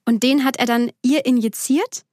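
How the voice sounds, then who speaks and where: Teasing young woman, slightly high voice. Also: steady tone, normal speed, a little mellow, dry recording, clean studio sound. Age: 20s. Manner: friendly, teasing, laughs often. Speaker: podcast co-host. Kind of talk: casual chat.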